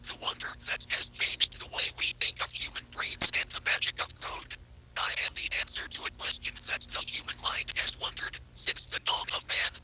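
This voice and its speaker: A man voicing a sinister, godlike cat entity that is a rogue artificial intelligence, in a menacing, repetitive, breathy whisper for analog horror. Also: normal speed, long pauses, close to an everyday voice, rough recording. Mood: eerie, grandiose.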